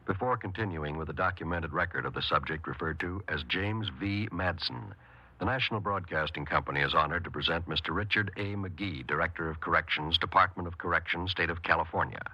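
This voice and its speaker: A male 1950s radio announcer with a very low, forceful voice. He speaks formally and in measured phrases, on a slightly muffled old recording. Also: breathy edge, dry recording.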